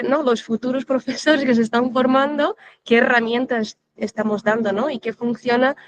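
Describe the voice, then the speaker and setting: A woman moderating a conference session over a video call, talking casually and often checking in with the audience. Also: fairly high pitch. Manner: chatty, with frequent tag questions. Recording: dull lo-fi video call audio.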